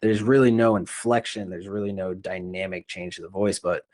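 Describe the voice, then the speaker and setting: A man's voice: sits low, steady tone, normal speed, darkish tone, no echo, clean studio sound. A man hosting a podcast, talking in a casual, conversational interview style. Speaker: podcast host.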